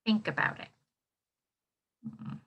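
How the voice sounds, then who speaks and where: A woman's voice: a bit bright, dull audio. A woman teacher leading an interactive read-aloud lesson for young children, encouraging them with questions and speaking with exaggerated expressiveness. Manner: encouraging, prompting with questions and pausing for answers. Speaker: elementary school teacher.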